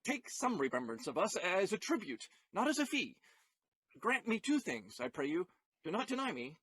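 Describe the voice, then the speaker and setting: A man acting an earnest, flustered young gentleman in a Shakespearean audio play, pleading and hesitant with emphatic delivery. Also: high voice, big pitch swings, moderate pace, slightly rough, dry recording, heavily acted, rough recording. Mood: courteous, earnest.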